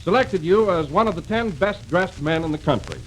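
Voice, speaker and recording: A man's voice: high-pitched, expressive, moderate pace. An American man, a hearty and agreeable announcer bantering on a 1930s live radio comedy show. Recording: hissy, crackly 1930s radio broadcast.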